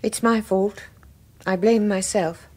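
An actress playing a guarded, self-reproaching wife in a radio drama, hesitant and reflective. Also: female voice, medium pitch, steady tone, slow, warm tone. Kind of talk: 1980s radio drama acting.

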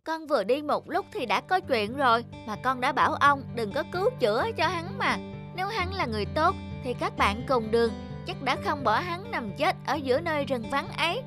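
A woman's voice: high voice, animated, moderate pace, over music, pitched above the performer's own voice. A woman voicing a wise, shrewd little toad spirit who gives instructions and admonishes in a cartoon folk tale. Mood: scolding, advising.

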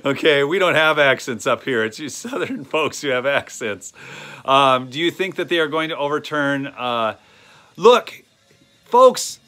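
Bright-voiced Minnesotan man rambling to viewers. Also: medium pitch, expressive, a little slow. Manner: rambling, many ums and uhs, talks to viewers directly. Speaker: channel host. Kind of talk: casual live stream chat. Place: small wooden shed or garage, indoors. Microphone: phone camera held close.

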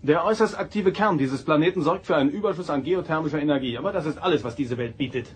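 An actor playing a dutiful starship first officer in a sci-fi audio drama, formal and matter-of-fact. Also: male voice, fairly high pitch, fast, a little hiss or hum, lightly acted. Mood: factual.

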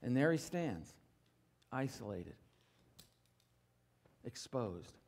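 A middle-aged male pastor preaching a sermon from a church stage. He swings between loud, emphatic declarations and quiet, low asides, and asks the congregation for assent. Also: big pitch swings, extremely slow, creaky.

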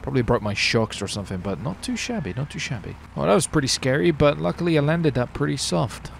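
A man's voice: fairly high pitch, lively intonation, quick pace, vocal fry, a little hiss or hum. A man commentating casually on his gameplay as a streamer, with slangy, sweary talk. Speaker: streamer hosting a gaming video.

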